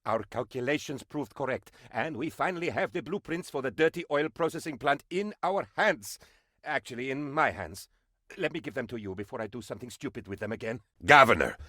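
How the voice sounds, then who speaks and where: An actor voicing an excitable, devoted, scatterbrained aide to an island dictator, theatrical and eager to please, in a video game. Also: male voice, medium pitch, no echo, studio quality. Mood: giddy, sheepish, then solemn storytelling. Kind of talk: video game character voice lines.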